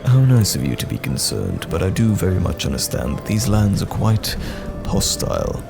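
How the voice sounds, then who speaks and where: A man playing a courteous, guarded, wry wandering knight in a fantasy audio roleplay, speaking in measured, old-fashioned phrases. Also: sits low, huge pitch swings, creaky, heavily acted. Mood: polite, reflective. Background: music.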